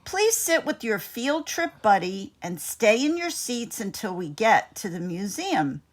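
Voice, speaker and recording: A woman's voice: fairly high pitch, very animated, a little slow. A woman voicing a kindly, organized female elementary school teacher in a storybook, giving polite instructions. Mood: instructing.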